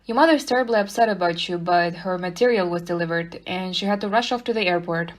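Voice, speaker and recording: A woman playing a frank, brisk police inspector in an audio play, speaking in a casual, plain way. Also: fairly low pitch, natural voice. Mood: relieved, apologetic.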